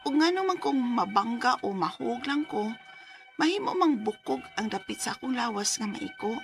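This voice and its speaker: A woman's voice: fairly high pitch, lively intonation, normal speed, vocal fry, soft background music. A woman playing a sickly young daughter in a radio drama, plaintive and emotional, with a Filipino Bisaya accent. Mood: sorrowful narration. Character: sickly young daughter, anguished and pleading, narrating her story.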